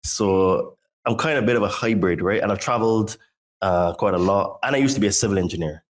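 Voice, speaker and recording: A man from Barbados with a fairly low voice is a guest on a podcast. He talks about his work as a fitness coach with casual enthusiasm, and fills his talk with "dude" and "right?". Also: brisk. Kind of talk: casual chat.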